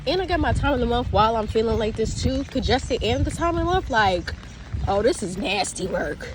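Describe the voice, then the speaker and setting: A woman's voice: a little high, lively intonation, background noise. A young woman vlogging on her phone outdoors, chatty and exasperated, sounding congested. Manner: chatty, exasperated, drawn-out complaints. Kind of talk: casual vlog to phone camera. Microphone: phone camera.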